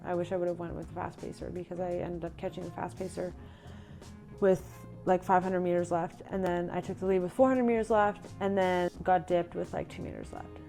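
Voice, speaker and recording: A woman's voice: fairly low pitch, steady tone, brisk, vocal fry, dark tone, soft background music. A young woman from Canada, a runner, chatting casually in a vlog and reading out her own race notes. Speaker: runner recapping her racing season.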